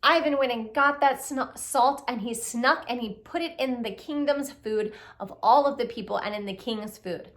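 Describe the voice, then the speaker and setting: A woman telling a children's puppet story in an expressive way, speaking directly to young listeners with questions and exclamations. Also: a little high, normal speed, hardly any pauses. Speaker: storyteller, puppet show reader.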